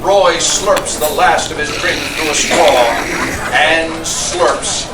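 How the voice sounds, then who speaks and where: A man's voice: a little high, slow, over loud music. A man reading stage directions as narrator at a live screenplay table read. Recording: distant mic in noisy, echoey room.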